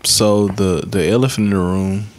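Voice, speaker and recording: A man around thirty with a fairly low voice hosts a relaxed group podcast. His speech is conversational, full of slang and swearing, recorded on a boom microphone in a small studio. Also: moderate pace. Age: about 30. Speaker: podcast host. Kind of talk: casual group podcast chat, slangy and profane. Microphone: podcast microphone on boom arm.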